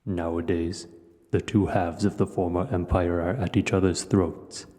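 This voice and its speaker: Very deep, slow, imperious dragon. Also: male voice, flat delivery. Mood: intrigued, measured. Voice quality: deep, dark voice. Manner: slow, deliberate, formal, pompous. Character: huge male dragon, imperious, menacing, learned, coolly curious. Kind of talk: scripted audio roleplay voice acting. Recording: long cavernous reverb.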